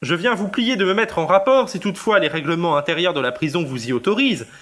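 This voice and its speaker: A man playing a pompous, flattering crusading lawyer, speaking in a formal, oratorical audio drama style. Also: high-pitched, fast talker. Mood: earnest, pompous. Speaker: actor in an amateur audio drama. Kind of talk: theatrical French audio drama acting.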